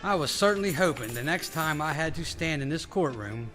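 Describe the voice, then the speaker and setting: A man playing a gruff, hostile military police commander in an audio drama, stern and contemptuous. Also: a little high, animated, brisk, music in the background, lightly acted. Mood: sneering. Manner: stern, sneering.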